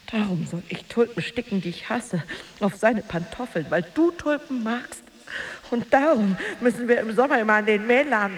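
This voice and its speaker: A woman's voice: mid-range voice, close to an everyday voice, poor audio. An actress in a radio drama playing a jealous, bitter married woman, a taunting and volatile rival delivering a theatrical monologue. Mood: bitter accusation. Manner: emotionally volatile, theatrical, swinging between hushed and outburst.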